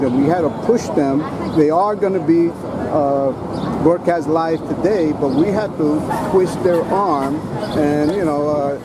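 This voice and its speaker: A man interviewing marchers outdoors, talking in an opinionated, halting way with many fillers. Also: on the high side. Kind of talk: casual street interview. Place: outdoors on a city street. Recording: loud street noise.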